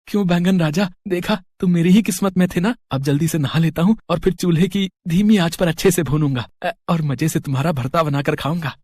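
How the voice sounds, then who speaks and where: Cartoon casting: hungry, jumpy young male villager, humble and expressive, mid-pitched voice, acted by a performer. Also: fairly quick, few pauses, no echo, studio quality, lightly acted. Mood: delighted. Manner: stammering when flustered. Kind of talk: animated moral story dialogue.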